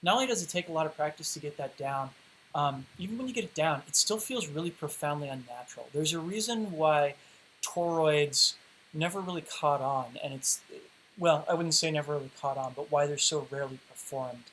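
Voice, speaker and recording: A man with a slightly high voice that is wearing thin and hoarse hosts a livestream Q&A, explaining poi tricks in a loose, chatty way with lots of "um"s and restarts. He sometimes drifts away from the mic as he demonstrates. A faint hiss sits under the home recording. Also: fairly quick, a bit bright, rough recording. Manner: chatty, rambling explanations with many fillers and restarts. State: losing his voice. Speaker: poi spinning teacher hosting a livestream Q&A. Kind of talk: casual livestream Q&A and teaching.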